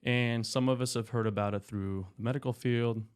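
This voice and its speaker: Smooth-voiced man explaining calmly. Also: medium pitch, normal speed. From Spain, raised in California. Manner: relaxed, explanatory, frequent fillers. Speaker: anesthesiologist guest. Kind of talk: casual interview talk.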